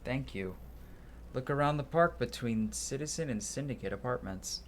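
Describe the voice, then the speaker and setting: A man's voice: mid-range voice, normal speed, slight background noise, voice pushed up, natural voice. A man voicing a lost, grieving married man in a video game, mournful and earnest. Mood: earnest, pleading.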